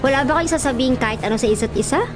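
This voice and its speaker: An actress playing a playful, bossy young matchmaker friend in a Filipino radio drama, breezy and teasing. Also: female voice, high voice, rapid-fire, over music, close to an everyday voice. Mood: mischievous.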